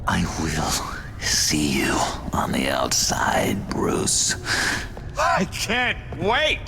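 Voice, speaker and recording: Slow, creaky, gleefully deranged inmate. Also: male voice, very deep voice, wildly swooping pitch, whispered, full character voice. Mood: sinister, eager. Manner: drawn-out, giggly, theatrical. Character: gleefully deranged, theatrical asylum inmate, sinister and obsessive. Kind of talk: video game dramatic dialogue.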